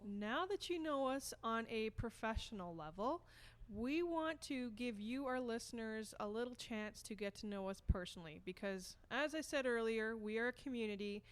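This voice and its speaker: A woman's voice: fairly high pitch, animated, normal speed. A woman co-hosting a casual podcast, playful and jokey in her delivery. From Canada. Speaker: podcast co-host, horse trainer.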